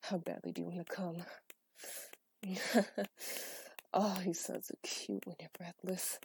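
A woman in a scripted adult audio roleplay, speaking as a dominant partner in a breathy, teasing, commanding whisper. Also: fairly low pitch, little pitch movement, slow, slight background noise.

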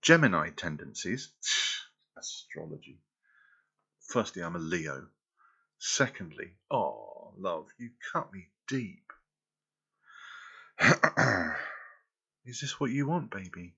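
A man performing an intimate audio roleplay, speaking softly and teasingly as if close to the listener's ear. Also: deep voice, very animated, takes it slowly, vocal fry, pauses often, husky, lo-fi sound.

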